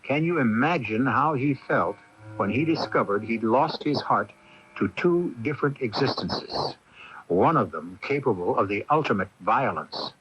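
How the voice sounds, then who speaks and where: A steady, reassuring friend and storyteller, played by a man in a radio play. His voice sits at a medium pitch, on a dull AM tape with faint hiss. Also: normal speed, close to an everyday voice. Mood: grave storytelling. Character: steady newspaper publisher friend, narrating and calming. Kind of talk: radio drama storytelling and dialogue.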